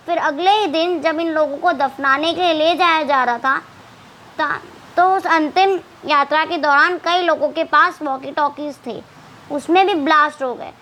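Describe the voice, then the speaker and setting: A woman's voice: high-pitched, fairly bright tone. A woman presenting scripted news commentary with conversational asides, in a sarcastic, mocking and dramatic storytelling manner. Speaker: presenter of an explainer video.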